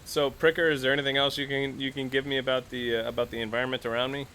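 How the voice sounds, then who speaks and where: A man's voice: mid-range voice, level tone, fast talker, pushed and bright, a little hiss or hum. A man playing a tabletop role-playing game, casually thinking his moves through aloud.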